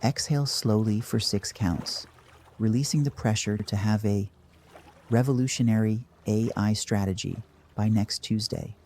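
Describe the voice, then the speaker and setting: A man narrating a scripted guided meditation in a measured, dry deadpan manner. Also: on the low side, slight background noise.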